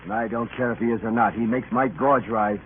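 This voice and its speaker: An actor playing a bullying, resentful ship's mate in an old-time radio drama, blunt and aggressive in manner. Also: male voice, medium pitch, fairly even intonation, a little fast, slight background noise, close to an everyday voice. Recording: tinny, band-limited old radio recording.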